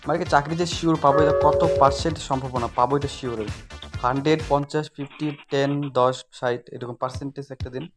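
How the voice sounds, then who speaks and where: A man in a casual phone conversation, asking questions in a halting, repetitive way and mixing in English words. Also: medium pitch, steady tone. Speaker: voter receiving a campaign call. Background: music.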